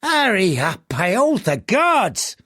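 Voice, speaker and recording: A man's voice: high voice, wildly swooping pitch, slow pace, fairly bright tone, dry recording, clean studio sound, pitched above the performer's own voice, full character voice. A male audiobook reader voicing a rude, impatient racetrack bettor who curses coarsely. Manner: coarse, cursing.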